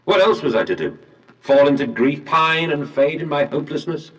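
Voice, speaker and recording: A male actor plays a proud industrialist obsessed with his vision. He speaks in grand, bitter oratory with very animated pitch. The game recording sounds far from the mic, in a long hall-like reverb. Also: moderate pace, natural voice. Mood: bitter, fervent. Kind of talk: scripted game voice acting, period diction.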